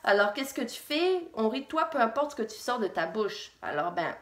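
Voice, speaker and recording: A woman's voice: a little high, very animated, normal speed, fairly bright tone, echoey room. A young woman from Réunion with an accent that mixes French and Québécois, telling a personal story to camera in a casual, hesitant way. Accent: French mixed with Québécois. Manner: conversational, frequent hesitations. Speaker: vlogger.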